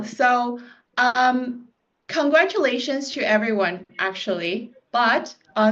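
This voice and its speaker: A woman hosts a live quiz over a video call, speaking slowly in a somewhat high voice. Her talk is relaxed and chatty, full of small fillers. The call audio sounds dull and lo-fi. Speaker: quiz host. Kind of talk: hosting a live online quiz.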